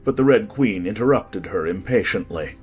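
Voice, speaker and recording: A man narrates a storybook in a low, husky voice. His pitch moves widely as he reads the scripted prose expressively. Soft music plays behind. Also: animated, normal speed.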